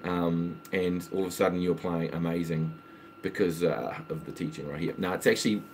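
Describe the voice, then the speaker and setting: A man's voice: low voice, fairly even intonation, moderate pace, slight background noise. A man who teaches guitar, chatting casually on a live stream at home and working through viewer song requests in a rambling, easygoing way. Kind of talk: casual live-stream chat between songs. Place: home, with a water pump running in the background.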